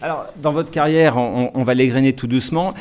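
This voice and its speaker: A man's voice: mid-range voice, a little fast, a little mellow. A man interviewing a musician guest, chatty and full of stammered repeats and fillers. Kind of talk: interview questions.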